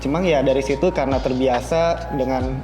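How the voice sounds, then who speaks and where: A man's voice: mid-range voice, fairly even intonation, a little fast. Young man chatting over background music. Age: young adult. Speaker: podcast guest, university student. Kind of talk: casual chat. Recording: music playing under the voice.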